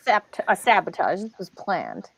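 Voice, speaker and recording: A woman's voice: lowish voice, wildly swooping pitch, a little slow, creaky, husky, no echo. A woman playing an online tabletop game, chatting casually. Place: online voice call.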